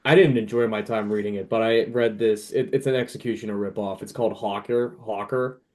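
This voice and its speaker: A man's voice: lowish voice, fairly even intonation, fairly quick, darkish tone. Casual, opinionated man chatting about books. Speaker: podcast guest, thriller author. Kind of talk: casual book chat.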